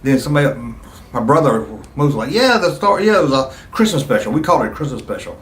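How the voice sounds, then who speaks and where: A man's voice: a little high, big pitch swings, quick pace, fairly bright tone, reverberant. A middle-aged man talking casually and nostalgically to his viewers, with an enthusiastic, rambling, conversational manner. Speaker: YouTube video host.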